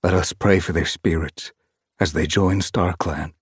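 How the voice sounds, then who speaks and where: A man voicing a weary, grieving male clan leader cat in a storybook reading, speaking in a quiet murmur. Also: low voice, fairly even intonation, unhurried, husky, dry recording, studio quality, full character voice. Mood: sorrowful.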